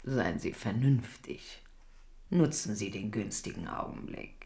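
An actress playing a shrewd middle-aged queen of France in a German radio drama, measured, coaxing and imperious. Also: extremely low pitch, expressive, vocal fry, mellow, full character voice. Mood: coaxing, calculating.

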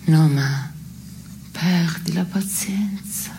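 A woman's voice: on the low side, extremely slow, vocal fry, warm tone, slight background noise, full character voice. An actress playing a dry, blunt woman in a philosophical theatre dialogue, terse and matter-of-fact. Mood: dry, serious. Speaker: stage actress.

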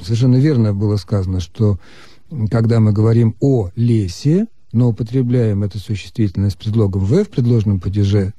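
A man calmly explaining Russian grammar as the expert on a radio call-in show. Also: lowish voice, no room sound. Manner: measured, lecturing. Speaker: language expert answering listeners.

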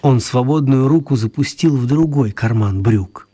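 Slightly husky male storyteller. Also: medium pitch, moderate pace, warm tone. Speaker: narrator. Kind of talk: expressive scripted storytelling for children's radio play.

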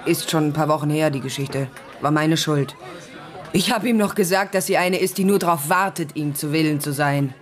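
An actress playing a bitter, frightened young prostitute in a radio drama, coarse and aggrieved. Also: female voice, low-pitched, moderate pace, a faint crowd, natural voice. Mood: bitter, grim. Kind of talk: radio play acting.

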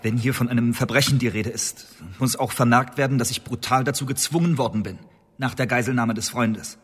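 Young accused spy, husky and halting, pleading his case in an echoey hall. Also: medium pitch, steady tone, a bit bright. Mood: pleading, indignant. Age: late 20s. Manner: halting, stammering, earnest. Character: desperate accused male agent of twenty-nine, pleading. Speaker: actor in a radio play. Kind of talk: German radio drama acting. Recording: reverberant hall.

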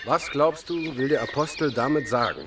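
A man's voice: mid-range voice, moderate pace, lightly acted. An actor playing a fervent radical preacher in a historical radio drama, intense and insistent as he probes and instructs. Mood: probing. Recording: distant microphone, clearly noisy background.

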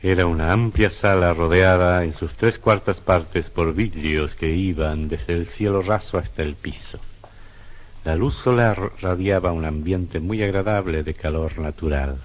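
A man narrating a suspense radio drama in a solemn, literary, measured manner. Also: sits low. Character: solemn male narrator of eerie suspense tale. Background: music.

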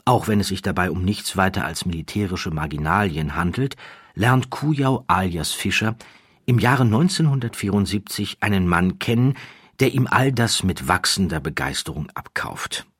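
A man with a low, slightly rough voice reads scripted documentary narration. He is measured and emphatic, with a wry edge. The sound is dry and close to the mic. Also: expressive, fairly bright tone, no room sound.

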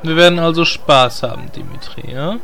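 Sardonic know-it-all hero played by a man, bright cutting tone, slightly high, fantasy comedy radio play. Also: normal speed. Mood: annoyed. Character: sarcastic, grumbling know-it-all fantasy adventurer.

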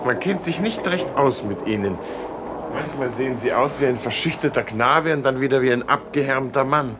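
A man's voice: mid-range voice, moderate pace, lightly acted. Casting: male actor for talkative, self-pitying husband and colleague, pushy, 1950s radio play, middle register, noisy vintage sound. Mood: teasing, prodding. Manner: talkative, prodding, repeats phrases.

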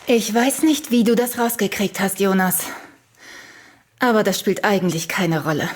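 An actress playing a cold, haughty conspirator in a radio drama, with a condescending, cool manner. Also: female voice. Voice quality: husky, low. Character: cold, smug female conspirator and killer, haughtily superior.

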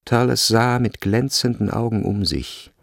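A man narrating a literary radio drama in a measured, scripted delivery. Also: on the low side, vocal fry, no room sound, studio quality.

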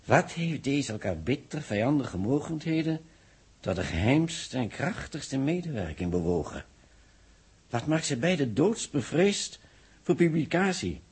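Grave astronomer, solemn, measured, dramatic pauses, husky voice, animated pitch, 1960s radio drama. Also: male voice, heavily acted. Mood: solemn, foreboding. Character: grave male astronomer warning of solar catastrophe. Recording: old 1960s radio recording.

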